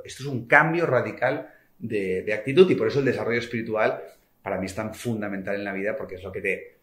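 A man from Spain, speaking passionately and colloquially as a guest author in a podcast conversation, with sudden swings in loudness. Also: lowish voice. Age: 40s. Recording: echoey room.

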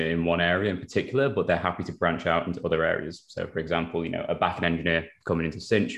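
A man's voice: low voice, fairly bright tone. A man speaking as an interview guest, explaining his engineering work in a casual, professional, conversational way.

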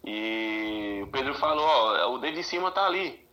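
A man's voice: mid-range voice, expressive, a little slow, sharp tone. A Brazilian man chatting casually, telling stories in a joking, slangy way and acting out the dialogue. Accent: Brazilian Portuguese. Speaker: podcast guest. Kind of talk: animated casual storytelling among friends.

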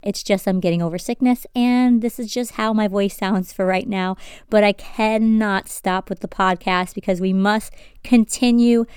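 Young woman podcast host, stuffy sinus voice, very clear and dry sound, earnest faith talk, asks listeners stuff. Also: medium pitch, fairly even intonation, moderate pace, a little mellow, dry recording. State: congested from sinus trouble.

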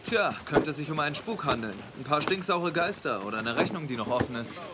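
A man's voice: a little high, expressive, fairly quick, very noisy, lightly acted. An actor playing a blunt, loyal young man, a protective older brother, in dramatic dialogue. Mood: challenging. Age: young adult. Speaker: actor in an audio drama or dubbed series. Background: loud music.

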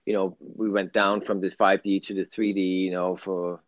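A middle-aged man with a Danish accent and a fairly low voice speaks in a rambling, earnest, conversational way. The sound is dry and close, a little dull and lo-fi. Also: flat delivery, normal speed, no echo. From Denmark. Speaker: guest, spiritual channel. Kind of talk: casual spiritual conversation.

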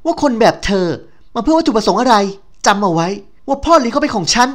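A man voicing a spoiled, jealous young rich woman who snarls and shrieks at her rival, far higher and louder than his own voice. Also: expressive, fast talker. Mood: furious shrieking. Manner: shrill, snarling, screaming.

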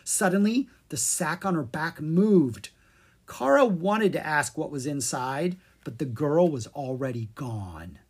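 A woman reading a children's fairy tale aloud as an expressive storyteller. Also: low-pitched, measured pace, breathy edge. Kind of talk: expressive scripted narration for children.

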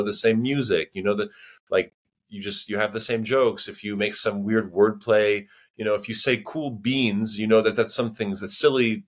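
An American man chatting casually as a podcast guest, rambling and filling his sentences with "you know" and restarts. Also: fairly low pitch. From United States. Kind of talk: casual conversation.

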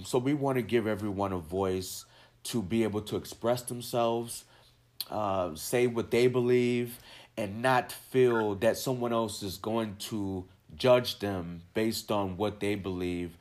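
Man, low voice, podcast host, halting speech, lots of ums. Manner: halting, with frequent uhs and ums. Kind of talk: interview introduction and questions.

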